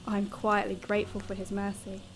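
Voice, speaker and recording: A woman's voice: mid-range voice, completely flat delivery, brisk, natural voice. An actress playing an anguished, brooding young woman writer in a radio drama, speaking in solemn, formal literary monologue. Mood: melancholy, resentful. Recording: faint music under the voice.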